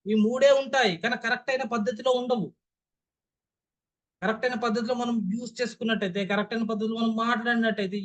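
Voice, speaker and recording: A young male trainer with a Telugu accent speaks in a notably high voice. He lectures an online class emphatically, leaning on key words. He keeps putting rhetorical questions to his listeners to check that they follow. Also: a bit bright.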